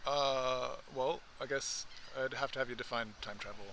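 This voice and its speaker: A man's voice: mid-range voice, steady tone, normal speed. A man chatting casually as an interviewee in a street interview. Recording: clear outdoor traffic noise.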